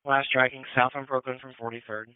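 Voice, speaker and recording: A man's voice: mid-range voice, level tone, a little fast, clean tone, no echo. A man working as a police dispatcher over two-way radio, tersely repeating reports back in clipped procedural phrases. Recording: narrow-band police scanner radio audio.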